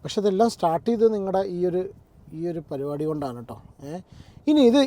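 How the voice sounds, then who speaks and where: A man's voice: on the high side, animated, fast talker, mellow. A man giving casual, digressive online commentary, circling back and rephrasing as he talks. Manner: conversational, digressive, repeats and rephrases himself. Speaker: YouTube commentator reacting to online controversy.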